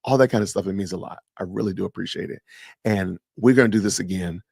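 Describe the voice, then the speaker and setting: A man's voice: sits low, fast talker, gravelly, darkish tone, breathy edge, no echo, studio quality. A man in his fifties hosting a casual live stream, chatting with viewers and answering the live chat as he goes. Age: 50s. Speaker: podcast host.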